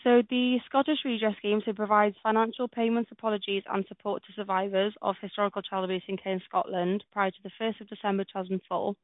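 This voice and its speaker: Sex: woman. Origin: Wales. Native language: Welsh. Role: legal adviser on a phone call. Pace: very quick. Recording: dry, thin phone line. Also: mid-range voice, level tone, dry recording. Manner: brisk, businesslike, starts sentences with "so". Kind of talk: explaining terms on a phone call.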